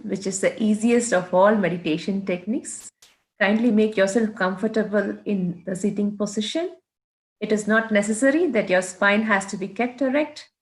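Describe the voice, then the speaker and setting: A female meditation guide with an Indian accent and a mid-range voice. She gives her instructions in a measured, orderly manner, one step after another. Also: mid-range voice.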